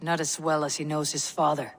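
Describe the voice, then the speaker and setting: A woman's voice: deep voice, little pitch movement, brisk. A woman playing a proud, defiant Viking warrior in a video game, speaking in a blunt, intense, stubborn manner. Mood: bitter, proud. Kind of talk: dramatic video game acting.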